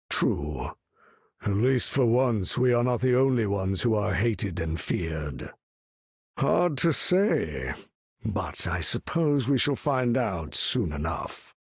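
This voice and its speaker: Old imperious mutant leader from a game, gravelly n slow, super formal and commanding. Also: male voice, medium pitch, dry recording, clean studio sound, heavily acted. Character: imperious, weary older male mutant leader. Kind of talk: video game character voice lines.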